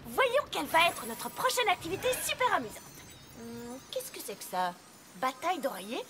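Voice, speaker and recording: An actress voicing an earnest, bookish young pony mare hosting a sleepover in a French cartoon dub, fretful at times. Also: female voice, high voice, sing-song, normal speed, background noise. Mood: upbeat. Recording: loud music under dialogue.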